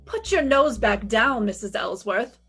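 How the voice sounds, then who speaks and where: Radio mystery lead: actress as brash young female detective, fairly high voice, sassy and wry, music behind. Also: big pitch swings, unhurried, fairly bright tone, heavily acted. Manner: sassy, wry, self-assured. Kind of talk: retro radio detective drama with first-person narration.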